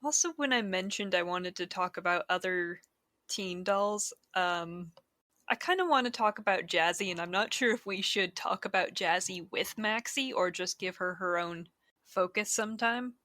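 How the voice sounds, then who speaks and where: A woman with a bright, crisp voice co-hosting a relaxed podcast. She talks in a wry, teasing way. The room sound is dry. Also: mid-range voice, moderate pace, no echo. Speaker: podcast co-host. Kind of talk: casual podcast chat.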